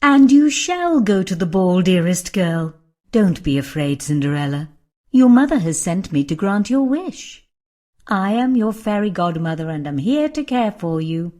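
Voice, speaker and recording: A woman's voice: medium pitch, big pitch swings, a little slow, a little mellow. Woman acting a lilting, kindly fairy godmother. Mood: tender, reassuring. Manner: reassuring, precise. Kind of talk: children's fairy tale character acting.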